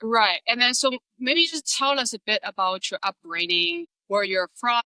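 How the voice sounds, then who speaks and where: A woman's voice: fairly high pitch, animated, moderate pace, vocal fry, pushed and bright, poor audio. A woman from China hosting an interview podcast, moving between scripted introduction and conversational questions. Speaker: podcast host.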